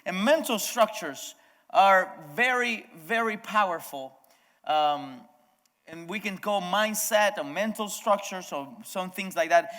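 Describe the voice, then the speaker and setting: Spanish-native guy preaching, high voice, talks straight to the crowd, big echoey church hall. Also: animated, unhurried, fairly bright tone. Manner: conversational, addresses the congregation directly, frequent restarts. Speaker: pastor. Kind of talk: sermon. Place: church service.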